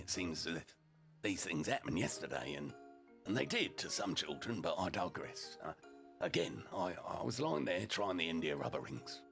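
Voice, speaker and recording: A man reading a humorous first-person story aloud as a storyteller, with deliberate phrasing and profane asides. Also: sits low, gravelly, a bit bright. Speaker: storyteller, streamer narrating in character as a deputy.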